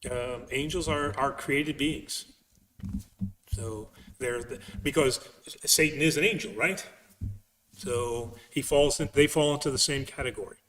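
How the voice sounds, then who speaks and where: A man's voice: medium pitch, a bit bright, slight background noise. Priest guy answering faith questions, stop and start, repeats himself for emphasis, big echoey sound, far from mic. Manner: emphatic, halting, repeats phrases. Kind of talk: conversational religious Q&A.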